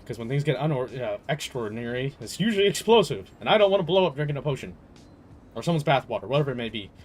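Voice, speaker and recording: Rambling, slangy man with a higher-pitched voice. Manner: rambling, slangy, swearing, amused and incredulous. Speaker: livestreamer playing a video game. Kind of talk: casual opinionated chat commentary over gameplay.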